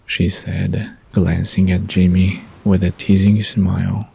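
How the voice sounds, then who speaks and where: Deep, gravelly, slow male storyteller. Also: level tone, dark tone, slight background noise. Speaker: narrator. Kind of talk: scripted story narration for bedtime audio drama.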